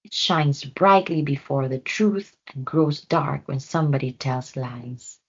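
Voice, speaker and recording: A calm wise owl, voiced by a woman. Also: very deep voice, measured pace, husky, lo-fi sound, heavily acted. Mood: knowing.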